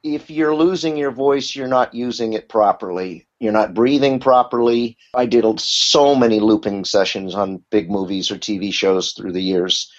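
A man with a fairly low voice, chatting as an interview guest. He tells stories in a candid, rambling way, stopping and restarting his sentences. The sound is dull and lo-fi. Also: moderate pace, hardly any pauses. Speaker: voice actor guest. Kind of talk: casual interview talk. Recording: muffled lo-fi audio.

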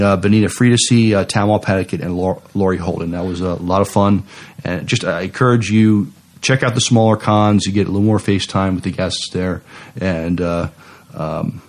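Low-voiced man chatting on a podcast. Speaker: podcast co-host. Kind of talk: casual chat.

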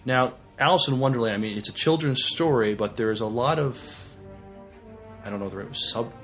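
A man's voice: medium pitch, a little fast, fairly bright tone, soft background music. A man co-hosting a podcast, chatting casually and often hesitating as he searches for words. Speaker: podcast co-host. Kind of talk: casual, unscripted discussion.

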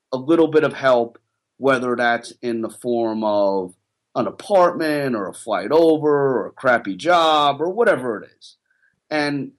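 A man who once coached rugby, ranting about player development on a casual podcast. He gets fired up and his voice climbs higher as he argues. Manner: passionate, ranting, rising pitch when worked up.

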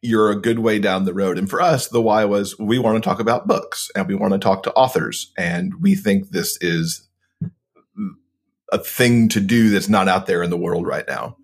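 A man speaking as a podcast guest, giving practical advice in a relaxed way, with frequent ums. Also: on the low side, moderate pace, slightly rough. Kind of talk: casual interview chat.

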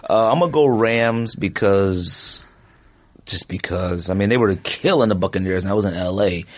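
A man co-hosting a podcast, talking football picks in a slangy, joking, animated way. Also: lowish voice, normal speed. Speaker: podcast co-host. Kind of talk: casual sports chat.

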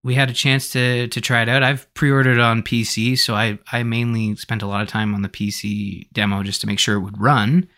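A man hosting a podcast in casual conversation, talking in an animated, rambling way as he thinks aloud. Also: mid-range voice, brisk, hardly any pauses. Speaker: podcast host.

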